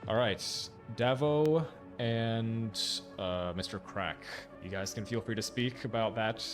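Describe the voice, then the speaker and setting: A man's voice: fairly low pitch, slow pace. A young man hosting an online game tournament in casual, upbeat talk with fillers. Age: teens. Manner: upbeat, fillers, laughs easily. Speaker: tournament host. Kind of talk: casual stream chat. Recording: game music behind.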